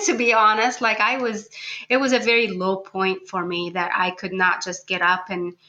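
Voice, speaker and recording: A woman speaks as an interview guest in a casual, chatty way. Her voice is bright and crisp-edged, sitting at an ordinary middle pitch. She keeps the wording informal and conversational. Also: flat delivery, moderate pace, muffled recording. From Egypt. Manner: chatty, informal, often laughs. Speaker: podcast guest, food blogger.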